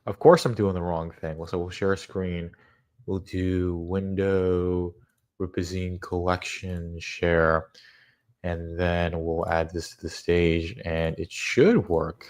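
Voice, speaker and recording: A congested man with a fairly low voice chats loosely on his livestream. He rambles, restarts his sentences and fills gaps with "um" and "uh". His nose sounds stuffed from a cold. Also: steady tone, slow, a little mellow. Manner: rambling, frequent restarts and fillers. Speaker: livestream host. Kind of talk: casual livestream chat.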